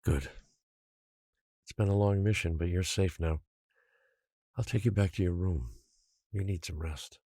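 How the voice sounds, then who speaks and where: An actor playing a stern, controlling professor in an audio drama, speaking in a measured, cold, authoritative way. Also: male voice, low voice, dark tone, no echo, clean studio sound. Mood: calm, firm. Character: stern controlling professor who rules an island.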